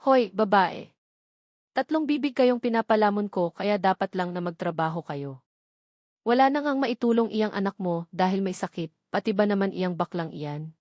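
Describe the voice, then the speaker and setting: A woman's voice: medium pitch, normal speed, a little mellow, no echo, clean studio sound, lightly acted. A woman voicing a resentful, nagging aunt who scolds and demands, in a dramatized story. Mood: scornful. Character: nagging, resentful aunt grudgingly housing relatives. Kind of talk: dramatized novel narration.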